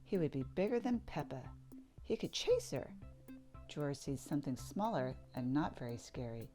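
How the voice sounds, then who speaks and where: A woman reading a children's picture book aloud, narrating in an expressive sing-song way. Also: low-pitched, normal speed, soft background music. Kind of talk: read-aloud storybook narration.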